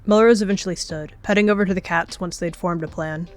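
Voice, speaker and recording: Woman narrating a game roleplay story, kinda low voice, faint music behind her. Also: fairly even intonation, fast talker.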